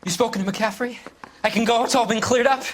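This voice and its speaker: A man's voice: extremely high pitch, steady tone, fast talker, a little hiss or hum. An American man voicing an anxious, defensive working man questioned by police in a crime game, nervous and hesitant. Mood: nervous, pleading. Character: anxious, defensive male bowling alley worker suspect. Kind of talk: video game voice acting, 1940s crime drama.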